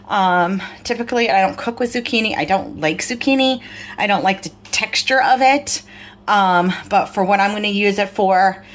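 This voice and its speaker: A middle-aged woman vlogger, tired, chatting casually through a grocery haul with lots of fillers. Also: mid-range voice. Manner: rambling, filler-heavy, apologetic.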